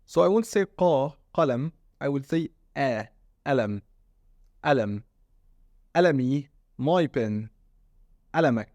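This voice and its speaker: A man's voice: medium pitch, lively intonation, measured pace, long pauses, a little mellow, no echo, studio quality. A man from Egypt with an Egyptian Arabic accent, a native Arabic speaker, teaching his dialect step by step and asking guiding questions. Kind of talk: casual language lesson.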